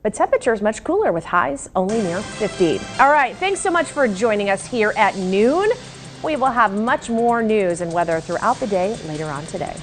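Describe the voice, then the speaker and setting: A woman's voice: mid-range voice, expressive, moderate pace, over music. An American woman presenting news and weather as a television anchor.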